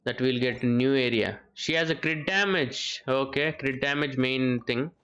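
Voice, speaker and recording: A man's voice: medium pitch, moderate pace, fairly bright tone, highs cut off. A man giving casual live game commentary, thinking aloud and often repeating his phrases. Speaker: game streamer. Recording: game music behind the voice. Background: video game music.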